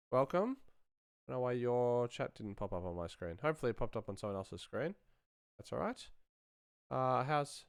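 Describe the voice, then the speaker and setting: A man's voice: mid-range voice, clean tone, dry recording, clean studio sound. A man hosting a casual live stream as a sound designer, rambling and thinking aloud as he works.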